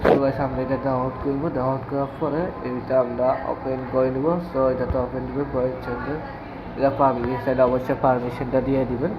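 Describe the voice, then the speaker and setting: A man's voice: mid-range voice, level tone, brisk. A man giving a casual tutorial as a tech video creator. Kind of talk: casual spoken screen-recording tutorial. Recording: dull lo-fi mic with clear background noise.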